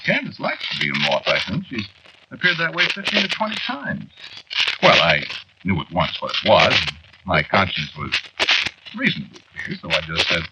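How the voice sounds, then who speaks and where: A man's voice: low-pitched, wildly swooping pitch, moderate pace, exaggerated voice. An actor playing a weary, centuries-old henpecked man in a 1940s radio drama, confiding in a hesitant, wry way. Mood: wry recounting. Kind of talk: 1940s radio drama acting, first-person narration. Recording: dull, noisy 1940s radio recording.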